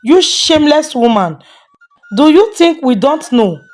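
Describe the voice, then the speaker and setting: A woman's voice: sits high, big pitch swings, unhurried, a little mellow, soft background music, pitched above the performer's own voice. A woman voicing a spiteful market woman in a folktale, scolding and accusing with jeering scorn. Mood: scornful, abusive. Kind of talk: storybook folktale character voice.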